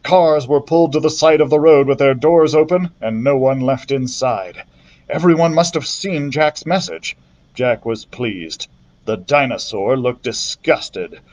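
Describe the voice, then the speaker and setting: A male librarian reading a children's adventure book aloud in a steady storytelling manner, with the odd slip. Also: mid-range voice, a little slow, dull audio, slight background noise. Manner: steady storytelling narration with small slips.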